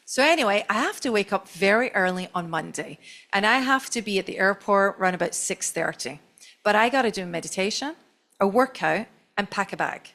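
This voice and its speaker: A woman's voice: medium pitch, animated, brisk, fairly bright tone. Chatty Scottish woman on a stage panel. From Scotland. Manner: chatty, storytelling, self-deprecating. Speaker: chief marketing officer on a panel.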